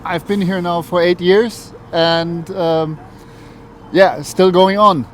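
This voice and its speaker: A man with a German accent answering casually in a street interview, halting as he searches for words. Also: sits high, steady tone. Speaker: interviewee, foreign resident. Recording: faint outdoor traffic noise. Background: faint urban street noise.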